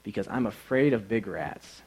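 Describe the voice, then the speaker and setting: A man voicing a gentle, timid little female kitten in a children's storybook, with simple, soft-hearted speech. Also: on the low side, expressive, moderate pace, slightly rough, low-quality recording. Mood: timid, wistful.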